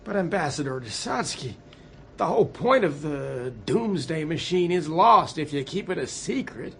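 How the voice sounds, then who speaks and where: An actor playing a flustered but reasonable, polite American president in a radio drama. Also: male voice, on the high side, lively intonation, normal speed, gravelly, a little hiss or hum. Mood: baffled, measured. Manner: polite, exasperated.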